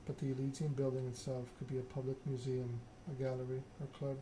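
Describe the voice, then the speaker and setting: Male ASMR streamer, mid-pitched and flat in intonation, measured halting delivery, noisy ambient recording. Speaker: game streamer, host. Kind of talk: soft-spoken ASMR reading and stream chat.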